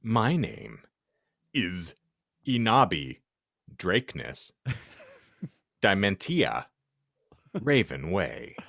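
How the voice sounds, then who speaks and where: A man's voice: deep voice, wildly swooping pitch, extremely slow, gravelly, long pauses, a bit bright, dry recording. A man hosting a podcast, giving a comic dramatic reading of fan fiction in a theatrical way and often close to laughing. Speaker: podcast host.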